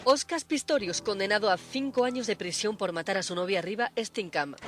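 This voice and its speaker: A woman newsreader reading a scripted bulletin. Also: medium pitch.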